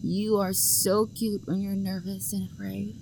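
A woman's voice: a little high, slow pace, fairly bright tone, slight background noise, poor audio. A young woman acting an obsessive, possessive lover who hunts for the one she adores, her voice sweet and menacing and often sinking to a whisper. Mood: hushed, sinister. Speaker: voice actor. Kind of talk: audio roleplay acting.

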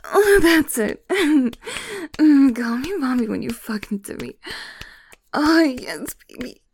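Breathy whispering woman, husky and high. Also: lively intonation, slow pace. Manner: whispering and moaning, teasing. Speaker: audio roleplay performer. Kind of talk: intimate scripted audio roleplay.

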